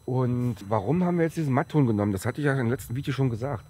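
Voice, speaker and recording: A man in his forties with a German accent from the Halle region, a bit hoarse after a cold, chatting casually and rambling as he presents a car outdoors on a sunny lot. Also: medium pitch, lively intonation, very fast, a little mellow. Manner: chatty, rambling, with fillers and restarts. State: slightly hoarse from a recent cold. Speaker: tuning shop presenter. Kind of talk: casual on-camera car walkaround.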